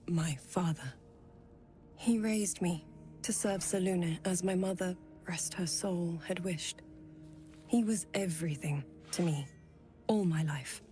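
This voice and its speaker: An actress playing a grieving young woman brought back from death in a fantasy video game, speaking in a slow, hushed, halting way. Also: lowish voice.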